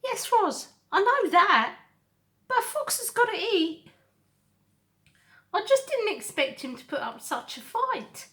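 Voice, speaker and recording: A woman's voice: very high voice, very animated, slow, long pauses, fairly bright tone, voice pushed up, exaggerated voice. A woman voicing a hungry male fox in a children's storybook, whiny and dramatic as he pleads and complains. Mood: whiny, defensive. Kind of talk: children's storybook character reading.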